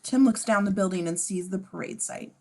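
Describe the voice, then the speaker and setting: A woman with a middling pitch reads out screenplay action lines. She is casual about it and slips into sarcastic commentary on the script. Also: a little fast, dark tone. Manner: sardonic, casual. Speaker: stage-direction reader. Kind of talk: amateur table read narration with sarcastic asides.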